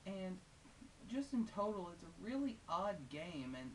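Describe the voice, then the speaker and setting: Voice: medium pitch, very animated, measured pace. A female-sounding game reviewer giving casual, unscripted and opinionated commentary in a conversational way with wry asides. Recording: hissy, distant microphone.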